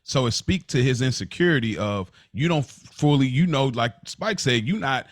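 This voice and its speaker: A man's voice: medium pitch, expressive, normal speed, no echo. American male co-host, mid-range voice, dry recording, emphatic and opinionated conversational delivery. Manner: emphatic, assertive, repeats key points. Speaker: podcast co-host. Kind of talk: opinionated casual discussion.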